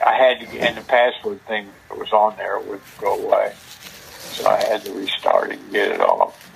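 A man's voice: on the low side, gravelly. An older man muttering in exasperation at a computer, frustrated, irritable and swearing as he grumbles. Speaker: host's father. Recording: noisy home recording, background sounds.

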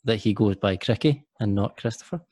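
A man's voice: on the low side, brisk, dark tone, no echo. A man hosting a podcast interview, chatty and jokey, often restarting his sentences. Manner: rambling, self-correcting, jokey. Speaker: podcast host.

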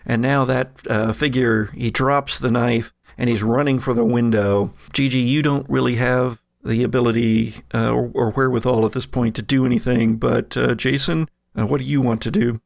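Creaky-voiced man running a tabletop game. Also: mid-range voice, steady tone, normal speed, few pauses, dry recording, clean studio sound. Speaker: game master. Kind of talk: improvised tabletop game narration.

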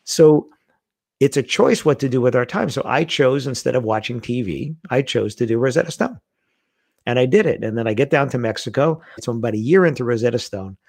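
A man's voice: mid-range voice, fairly quick, creaky, dry recording, studio quality. A middle-aged man telling stories in a casual conversation, acting out the lines he quotes. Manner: animated storyteller, acts out quoted lines. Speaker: guest.

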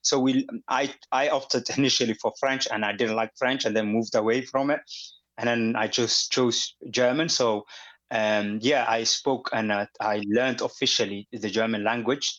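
A man's voice: medium pitch, fairly even intonation, moderate pace, a bit bright. A man from Somalia, with Somali as his first language, speaking as an interview guest in a hesitant way full of fillers and restarts. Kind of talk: conversational interview. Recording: dull lo-fi audio.

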